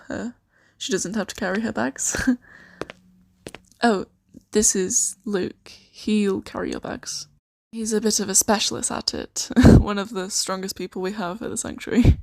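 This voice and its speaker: A woman improvising an ASMR roleplay as a kind, shy, flustered boss of a secret sanctuary, speaking softly and hesitantly with giggles. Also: medium pitch, creaky. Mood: friendly, flustered. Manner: hesitant, giggly, soft-spoken.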